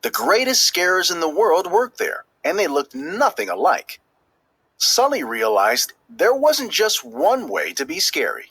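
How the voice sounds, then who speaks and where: A man reading a children's story aloud as scripted narration, with expressive, emphatic delivery that draws out key words. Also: high voice, unhurried, husky, no echo. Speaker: storybook reader.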